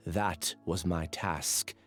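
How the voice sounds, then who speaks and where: A man voicing a troubled, withdrawn young researcher in a video game, speaking in earnest, formal, measured phrases. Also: sits low, steady tone, a bit bright, soft background music, heavily acted. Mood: resigned apology.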